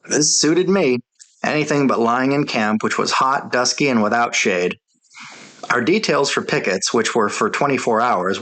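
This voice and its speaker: A man's voice: medium pitch, a bit bright, low-quality recording. A man reading a soldier's memoir aloud as scripted audiobook narration.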